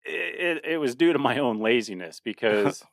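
A man's voice: mid-range voice, expressive, moderate pace, gravelly, no echo. Laughing, rambling man in his thirties. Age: 30s. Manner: rambling, laughing often, many restarts. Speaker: podcast co-host. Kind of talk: casual chat.

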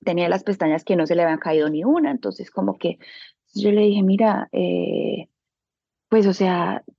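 A woman's voice: on the low side, moderate pace, creaky, husky, no room sound, lo-fi sound. A woman with a Colombian accent telling personal stories casually and colloquially, expressively acting out what people said. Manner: colloquial, expressive, acts out quoted dialogue. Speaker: interview guest, beauty business owner.

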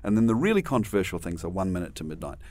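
A man's voice: deep voice, very animated, racing, darkish tone, a little hiss or hum. A middle-aged man from New Zealand with a New Zealand accent, a guest telling stories in a rambling way, with many restarts and dry humor. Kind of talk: conversational interview storytelling.

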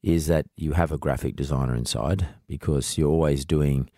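A man's voice: very deep voice, fairly even intonation, moderate pace, mellow, no echo, clean studio sound. A man with an Australian accent hosting a conversational interview podcast in a blunt, casual, probing manner. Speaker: podcast host.

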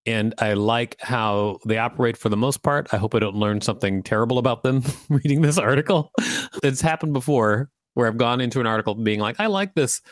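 A man's voice: medium pitch, brisk, no echo, clean studio sound. A man co-hosting a podcast, reading an article aloud and adding casual commentary. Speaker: podcast co-host.

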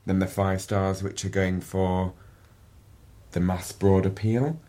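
A man's voice: sits low, little pitch movement, slow, warm tone. A middle-aged man with a Yorkshire accent, chatting as a podcast guest and often repeating words as he starts a sentence. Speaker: guest, events agency director. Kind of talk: casual interview chat.

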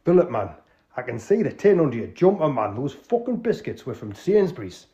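A man's voice: a little high, very animated, brisk, mellow, pitched above the performer's own voice. A man with a Geordie accent voicing a bossy male leader of a small-time crook club, chummy and swearing, in a dull lo-fi recording. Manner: chummy, swearing, calls everyone pet.

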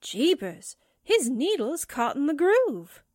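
A woman's voice: high voice, sing-song, takes it slowly, a little mellow, no room sound, clean studio sound, full character voice. A woman playing a flippant, slangy 1940s newspaperwoman in a radio drama. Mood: wisecracking. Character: spritely, wisecracking 1940s newspaperwoman.

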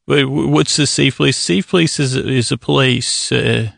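A man with a gravelly, creaky voice hosting a sleep podcast, rambling in a halting, meandering way that keeps stammering and correcting itself. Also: medium pitch, a bit bright, no echo, clean studio sound. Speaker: podcast host. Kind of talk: rambling bedtime monologue meant to lull listeners to sleep.

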